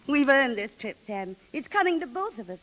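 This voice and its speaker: An actress playing a vain, restless middle-aged American wife, coy and demanding, in 1930s radio drama style on an old broadcast. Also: female voice, sits high, big pitch swings, a little fast, fairly bright tone, lo-fi sound. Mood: coaxing.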